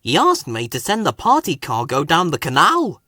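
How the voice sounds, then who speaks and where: A man voicing a gentle, obliging, wistful dockside crane in a children's storybook. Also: on the high side, wildly swooping pitch, normal speed, no room sound, clean studio sound, full character voice. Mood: matter-of-fact.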